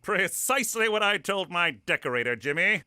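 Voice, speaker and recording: A prissy, high-strung rule-maker. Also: male voice, animated, a little fast, pushed and bright, slight background noise, full character voice. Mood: prim. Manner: prissy, precise, scolding. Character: fussy, prim older host laying down house rules.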